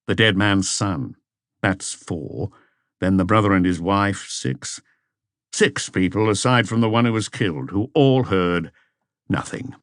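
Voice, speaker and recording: A man's voice: low-pitched, animated, measured pace, a bit bright, no room sound, studio quality, full character voice. A man voicing a terse, understated police detective chief inspector in an audiobook.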